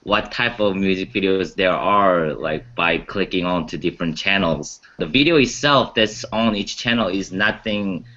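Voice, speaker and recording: A man's voice: low-pitched, normal speed, few pauses, bright, forceful tone, lo-fi sound. A man sharing his views in a casual discussion as a music show panelist.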